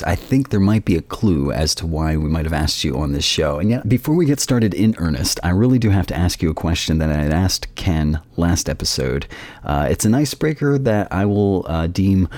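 Male podcast host, low-pitched voice, dry close sound, conversational interviewing, enthusiastic and affirming. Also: a little mellow, dry recording.

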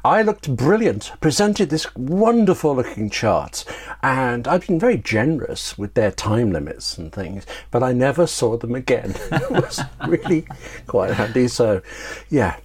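A man's voice: medium pitch, very animated, measured pace, darkish tone, breathy edge. A man talking casually as an interview guest, telling anecdotes in a wry, self-deprecating way with rambling asides. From Britain.

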